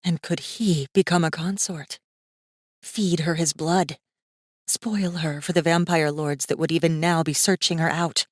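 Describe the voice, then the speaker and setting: A woman narrating a fantasy audiobook in a measured, suspenseful manner with deliberate pauses. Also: fairly low pitch, no room sound, studio quality. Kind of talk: scripted dramatic fiction narration.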